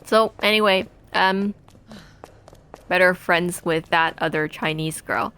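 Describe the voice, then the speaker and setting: A woman in her twenties from Taiwan, chatting and telling stories while playing a video game, rambling with many pauses. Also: lowish voice, a bit bright, smooth. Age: 20s. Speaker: let's play gamer.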